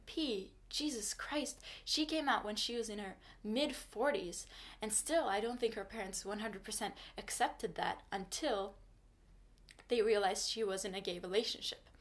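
A young woman from Turkey, a native Turkish speaker, talking frankly to the camera from her small bedroom in a casual vlog. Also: medium pitch. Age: young adult. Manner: earnest, frank, advice-giving. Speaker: vlogger. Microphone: camera in front at desk distance.

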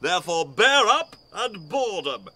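A man's voice: sits high, sing-song, moderate pace, bright, forceful tone, slight background noise, heavily acted. An actor playing a drunken, pompous man lording it over others in a Shakespearean comedy. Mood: drunken, bossy. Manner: pompous, commanding. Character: drunken, swaggering, bossy self-made island lord. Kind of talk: Shakespearean comic acting.

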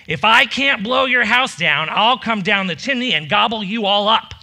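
A man's voice: high-pitched, measured pace, pushed and bright, heavily acted. A man voicing a hungry, growling big bad male wolf in a storybook reading. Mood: menacing. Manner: growling, gleeful threats.

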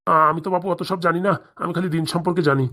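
A man's voice: high-pitched, fairly even intonation, very fast, fairly bright tone. A man voicing an ageing village priest, flustered and garrulous, rambling folksily in a for a comedy cartoon. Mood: apologetic.

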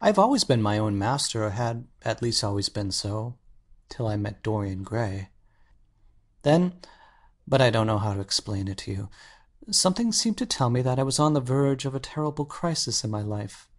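Male actor's earnest painter, anxious, intense, articulate, mid-pitched, dramatic audiobook. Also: sing-song, moderate pace. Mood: fervent, troubled.

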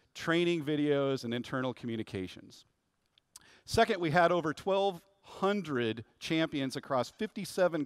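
A man's voice: on the high side, dry recording. A man in his fifties giving a rehearsed, upbeat corporate keynote from a large conference stage. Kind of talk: scripted keynote presentation.

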